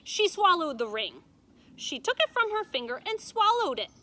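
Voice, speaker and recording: A woman voicing a stern grandmother who tells a dark fairy tale with dramatic emphasis. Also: very high-pitched, sing-song, moderate pace, voice pushed up, exaggerated voice.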